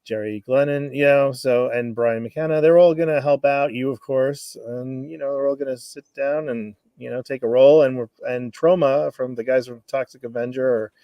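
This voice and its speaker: Guy guest chatting loosely, creaky mellow voice, dry close sound. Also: mid-range voice, fairly even intonation, normal speed, hardly any pauses, no echo. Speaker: guest, radio play organizer. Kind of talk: casual chat. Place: remote video call.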